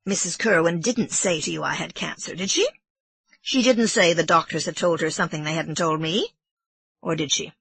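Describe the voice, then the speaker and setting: A woman's voice: fairly low pitch, normal speed, vocal fry, breathy edge, no room sound, exaggerated voice. A woman voicing an irritable middle-aged man who is ill, questioning sharply. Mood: probing. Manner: sharp, interrogating.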